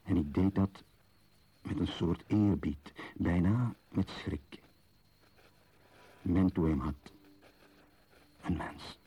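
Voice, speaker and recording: An actor playing a contemplative archaeologist in a radio play, speaking a husky, measured and solemn literary monologue. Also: male voice, low-pitched, dark tone, slight background noise. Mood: pensive, reverent. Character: contemplative male archaeologist tenderly unwrapping an Egyptian mummy.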